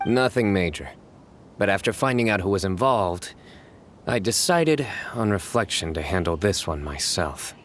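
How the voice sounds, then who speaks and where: Low, wry, composed young investigator. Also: male voice, animated, a bit bright, slight background noise. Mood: calm, amused. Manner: measured, formal, dry humour. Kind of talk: video game voice acting.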